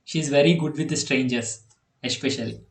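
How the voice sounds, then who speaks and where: A man's voice: mid-range voice, a little fast. A young man from India, a native Telugu speaker with an Indian accent, tutoring a student over a video call, full of fillers and restarts. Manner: hesitant, many fillers and restarts. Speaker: online coding tutor. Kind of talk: casual online tutoring session. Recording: echoey video call audio.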